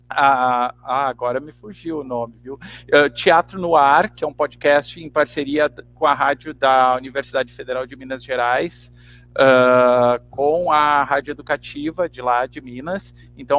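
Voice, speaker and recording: A man's voice: mid-range voice, fairly bright tone, lo-fi sound. A man with a southern Brazilian accent chatting casually as a podcast guest, meandering and often checking for agreement. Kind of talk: casual interview chat. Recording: faint background noise and hum.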